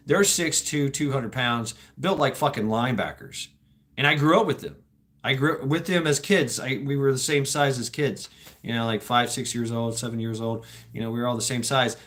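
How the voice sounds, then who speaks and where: A man's voice: medium pitch, pushed and bright. A middle-aged American man hosting a casual livestream, rambling through stories with swearing and chuckles. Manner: sweary, laughing, meandering storytelling. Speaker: livestream host.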